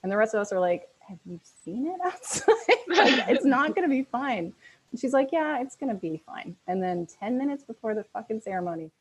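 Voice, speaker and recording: A woman's voice: a little high, sing-song, brisk, slightly rough, poor audio. A woman telling an anecdote in casual chat, blunt and wry with a salty tongue. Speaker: wedding vendor.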